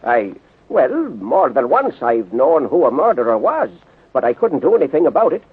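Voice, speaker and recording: An actor playing a plain-spoken, excitable police sergeant with a raspy voice in a 1930s radio drama. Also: male voice, medium pitch, normal speed. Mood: blunt, animated. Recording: tinny narrow-band 1930s radio recording.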